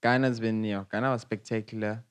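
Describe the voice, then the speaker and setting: A man's voice: fairly low pitch, level tone, fast, smooth. Laid-back young South African man. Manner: laid-back, slangy, rambling with fillers. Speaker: guest. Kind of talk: casual interview chat.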